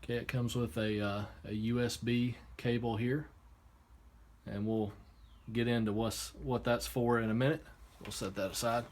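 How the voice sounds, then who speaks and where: A man giving a casual, unscripted hands-on product demonstration, explaining step by step in a halting way. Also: medium pitch, little pitch movement. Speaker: product reviewer. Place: indoor workshop. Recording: clear background noise, room ambience.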